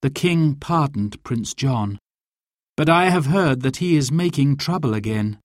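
A man voicing a gentle, earnest noblewoman in a storybook tale. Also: mid-range voice, animated, measured pace, no room sound, studio quality, natural voice. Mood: sad, worried.

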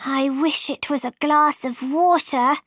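A woman's voice: sits high, steady tone, a little slow, a bit bright, no echo, studio quality, pitched above the performer's own voice. A woman reading a storybook aloud, voicing a polite, puzzled young girl. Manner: wondering, polite, slightly plaintive.

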